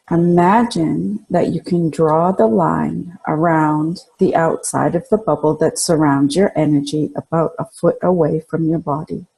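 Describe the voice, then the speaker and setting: Woman, guided meditation narration, low-pitched, slightly husky, calm and soothing, gentle instructions with pauses. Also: rough recording.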